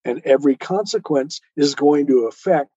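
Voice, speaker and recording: A man's voice: fairly high pitch, normal speed, warm tone, husky, no room sound. A man answering as an interview guest in a conversational, earnest and explanatory way, on a lo-fi recording. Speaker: interview guest, poet and author. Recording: dull lo-fi sound.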